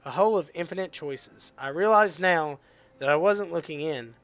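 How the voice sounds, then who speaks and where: A hoarse man reading the lines of a poised teenage girl who leads a literature club in a visual novel. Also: fairly high pitch, moderate pace, fairly bright tone. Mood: recitative. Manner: reads visual novel dialogue aloud.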